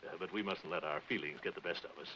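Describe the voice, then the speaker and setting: Deep, blustering pompous schemer. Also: male voice, quick pace, sharp tone. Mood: unctuous, scheming. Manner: stammering, unctuous, pompous. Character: pompous, blustering, scheming middle-aged male neighbor. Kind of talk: 1940s radio-style film comedy acting. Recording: muffled, hissy 1940s film soundtrack.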